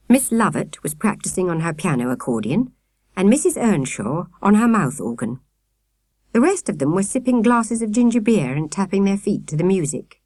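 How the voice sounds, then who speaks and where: A woman reading a children's audiobook in an expressive storytelling manner. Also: mid-range voice, dry recording, clean studio sound. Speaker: audiobook narrator. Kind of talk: scripted children's story narration.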